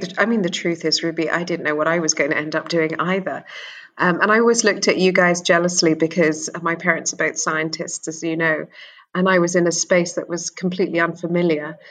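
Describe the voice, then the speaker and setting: A woman's voice: fairly low pitch, low-quality recording. A middle-aged woman born in England, speaking candidly and earnestly as an interview guest, often repeating words as she starts a thought. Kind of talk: conversational interview.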